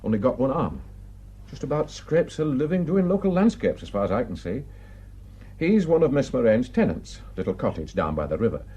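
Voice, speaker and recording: A man's voice: mid-range voice, very animated, a little fast, mellow, breathy edge. An actor playing a gossipy, plain-spoken village man with a Northern English accent, telling indignant tales in an emphatic, colloquial way in a radio drama. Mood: eager gossip. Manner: garrulous, emphatic, colloquial storytelling.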